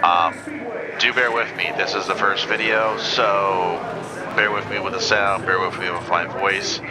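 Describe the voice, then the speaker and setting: A man's voice: sits low, fairly even intonation, unhurried, a loud crowd, rough recording. A man hosting a gaming channel, chatting casually and rambling with lots of ums while he plays a racing game. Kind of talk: casual gameplay commentary. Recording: loud game engine noise behind voice. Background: racing car engines from the game.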